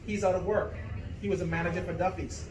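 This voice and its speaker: A man's voice: high-pitched, little pitch movement, fast talker. A middle-aged man from the Bahamas, a political candidate, speaking earnestly at a neighborhood meet and greet and laughing easily in banter. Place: gathering in a room with people around. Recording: echoey room, mic far off, crowd noise.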